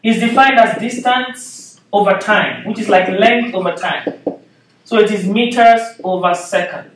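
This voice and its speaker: A man's voice: sits high, a little slow, far from the mic, noisy background. Nigerian-accented man lecturing in an echoey room. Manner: explains step by step, often checks in with "okay?". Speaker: physics lecturer. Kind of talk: whiteboard lecture. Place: classroom with whiteboard, indoors. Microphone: far camera.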